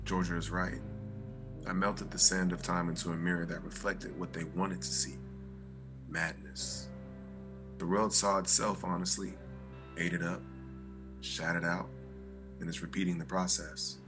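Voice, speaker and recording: A very deep-voiced jaded noir journalist read by a man at a table read, brooding and sardonic. Faint music plays underneath. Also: flat delivery, measured pace, long pauses. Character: jaded cynical male journalist, adult.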